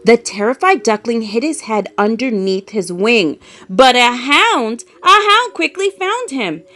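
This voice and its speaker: A woman reading a children's story aloud as an expressive, dramatic narrator with emphatic delivery. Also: a little high, measured pace.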